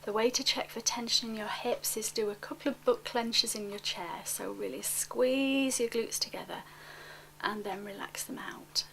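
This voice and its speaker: Female relaxation coach: gentle, unhurried instruction, mid-pitched with noticeable fry, near-whispered endings, faint background noise. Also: rough recording. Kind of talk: guided relaxation, spoken softly to one listener.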